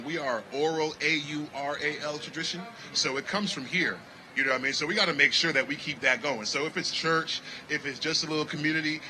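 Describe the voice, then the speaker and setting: Animated musician, bright voice. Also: male voice, fairly high pitch, a little hiss or hum, low-quality recording. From Connecticut, United States. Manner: animated, preachy, repeats "you know what I mean". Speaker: jazz musician guest. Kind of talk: casual outdoor festival interview.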